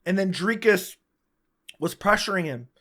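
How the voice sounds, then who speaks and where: A man's voice: high voice, expressive, bright, forceful tone. A man who is sick and losing his voice, hosting a casual, opinionated solo recap of a fight round by round. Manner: casual, opinionated, talks fast in bursts with restarts. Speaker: MMA commentator, channel host.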